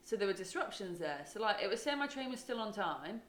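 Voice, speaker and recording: A woman's voice: medium pitch, very animated, brisk, echoey room. A podcast co-host, a woman, chatting casually, laughing often and telling rambling stories. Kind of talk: casual chat.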